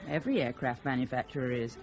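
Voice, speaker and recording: An actress playing a sharp-witted, sceptical female journalist in a radio drama, dry and articulate. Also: very deep voice, fast talker, slight background noise. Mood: dryly ironic.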